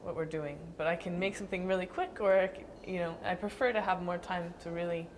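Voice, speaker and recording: A young woman dancer answering interview questions thoughtfully, with frequent pauses and restarts. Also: lowish voice, steady tone, slight background noise. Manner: thoughtful, hesitant with fillers and restarts.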